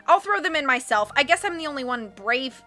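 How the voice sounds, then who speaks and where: A woman's voice: high-pitched, lively intonation, brisk, bright, forceful tone, faint music behind, voice pushed up. A woman voicing a sneering, impatient male henchman in a storybook.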